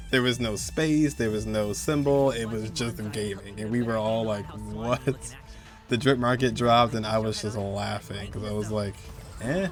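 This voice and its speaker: A man giving casual, slangy, conversational commentary as host of a video game countdown. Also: mid-range voice. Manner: slangy, conversational, chuckling asides.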